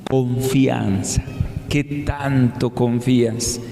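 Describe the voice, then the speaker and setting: Mexican male priest, 50s, for a homily: slow, conversational delivery with weighty pauses, recorded in a very reverberant church. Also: mid-range voice, fairly even intonation, creaky, mellow. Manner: conversational, rhetorical questions, deliberate pauses. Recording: echoey hall with long reverb.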